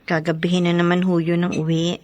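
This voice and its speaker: An actress playing a gentle, distraught young Filipino wife in a radio drama, tearful, halting and pleading. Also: female voice, lowish voice, completely flat delivery, no echo, close to an everyday voice. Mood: worried, downcast.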